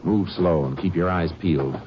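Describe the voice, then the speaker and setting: An actor playing a steady, shrewd Texas Ranger in a 1950s radio drama, speaking in terse, commanding phrases. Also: male voice, sits low, normal speed, natural voice. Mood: grim, resolute. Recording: noisy, muffled old-time radio recording.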